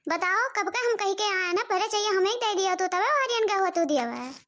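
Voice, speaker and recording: Someone voicing a very high-pitched bossy village mother-in-law. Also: expressive, a little fast, a bit bright. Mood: scolding. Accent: Awadhi. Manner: scolding, grumbling, addresses viewers directly. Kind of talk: exaggerated cartoon comedy dialogue.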